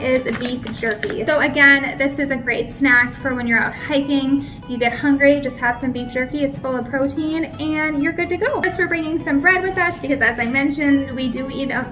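A woman in her thirties from Minnesota, chatting to the camera at home as she holds up items one by one. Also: high voice. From Minnesota, USA. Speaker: vlogger. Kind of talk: casual product show-and-tell. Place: bright home room indoors.

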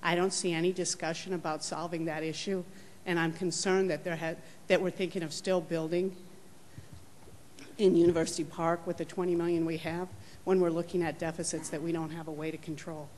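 A woman giving public comment at a school board meeting, steady and concerned. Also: on the low side, brisk. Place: large auditorium. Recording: reverberant hall, faint room noise.

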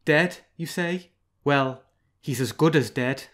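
A man reading a storybook, voicing a sly male fox who speaks slowly and theatrically, with a raised pitch. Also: a bit bright. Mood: scheming. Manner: drawn-out, theatrical sighs, feigned grief.

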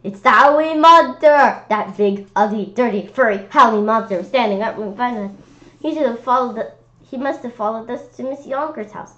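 A woman narrating a children's book aloud in a lively, expressive way. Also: fairly high pitch, fairly quick, lo-fi sound. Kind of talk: storybook read-aloud.

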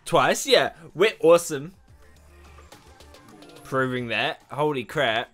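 Excitable young man, lively pitch. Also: takes it slowly, soft background music. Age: young adult. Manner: excitable, animated, exclamatory, slangy. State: morning voice. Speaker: reaction channel host. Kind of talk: excited music reaction vlog. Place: small bedroom indoors. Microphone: studio mic in front, headphones on.

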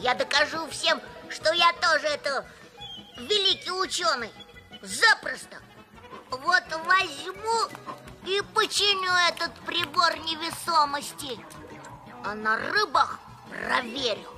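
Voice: sits high, animated, takes it slowly. An actor playing a cheeky, boastful little boy in a cartoon, bragging in a childlike way. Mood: stubborn, miffed. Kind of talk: animated cartoon acting. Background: music.

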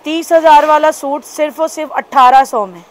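A woman with a Karachi Urdu accent touring a clothing shop as a shopping vlogger, excitedly repeating prices and praise. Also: high voice, moderate pace. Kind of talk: upbeat shop-tour vlog. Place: busy clothing shop indoors.

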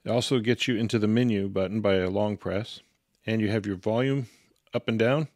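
A man's voice: on the low side, a little fast, darkish tone, no room sound, clean studio sound. A man giving an instructional product walkthrough in a matter-of-fact, step-by-step way. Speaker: product reviewer and demonstrator.

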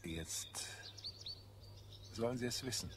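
An actor playing an earnest, idealistic scholar in a radio play, speaking in a solemn, measured, visionary way. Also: male voice, mid-range voice, a little hiss or hum, close to an everyday voice. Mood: solemn, confiding. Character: earnest idealistic male scholar, secretly half Martian. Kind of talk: German radio play acting. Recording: clear background birdsong and ambience. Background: birdsong in a garden scene.

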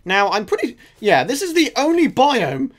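A man's voice: very high-pitched, wildly swooping pitch, normal speed. A man with a sore throat commenting casually on his own gameplay, chatty and self-mocking, muttering asides to the game. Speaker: gaming channel host. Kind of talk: casual let's play commentary.

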